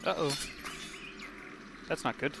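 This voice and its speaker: A man's voice: slow pace, background noise. A man from Canada doing casual, wry, reactive commentary on a video game. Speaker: gaming video host. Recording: game sound bleeding in behind commentary.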